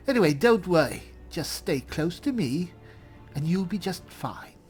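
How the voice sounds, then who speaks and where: A man's voice: high voice, big pitch swings, slow, faint music behind. A man roleplaying through a fantasy game in casual commentary, with dry, wry, offhand replies. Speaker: gamer roleplaying as an elf spellsword.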